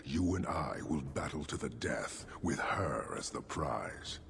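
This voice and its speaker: An actor playing a stern, proud horned warrior leader in a video game, speaking formally and slowly with a commanding, gravelly manner. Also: male voice, very deep voice, animated, a bit bright, slight background noise, full character voice. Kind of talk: video game cutscene acting.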